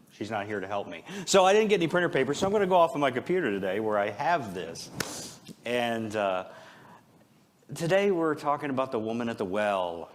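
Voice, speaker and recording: A man preaching an informal, conversational sermon as a pastor, with a joking, self-deprecating manner and rhetorical questions. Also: lowish voice. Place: church service, speaking before a congregation.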